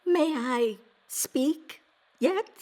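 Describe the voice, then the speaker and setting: A woman playing an eager, gossipy ghost newspaper editor in an audio drama, with a formal, deliberate manner. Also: high voice, expressive, a little mellow, heavily acted. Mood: excited, eager. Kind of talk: theatrical scripted audio drama.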